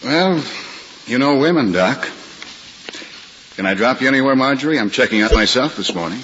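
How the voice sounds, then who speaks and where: A breezy, wisecracking young lawyer, played by an actor, whose voice jumps around lively as he jokes and reassures. It comes from a muffled old radio broadcast with heavy hiss. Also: mid-range voice, normal speed, lightly acted. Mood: breezy, joking. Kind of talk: 1950s radio drama acting.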